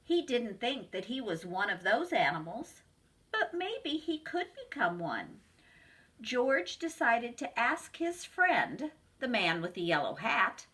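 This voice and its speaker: Slow, lively storybook-reading woman. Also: on the high side. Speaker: preschool teacher. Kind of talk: reading a picture book aloud to children.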